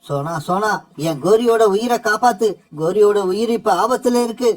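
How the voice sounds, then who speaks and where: A bossy male crow from a cartoon, as an actor voices him, with a mid-pitched voice. He talks with urgent, pushy force. He sounds like a storybook bird with a lot of pride. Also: moderate pace, natural voice. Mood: pleading. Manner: pleading, urgent. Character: proud male crow who turns desperate. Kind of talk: cartoon voice acting.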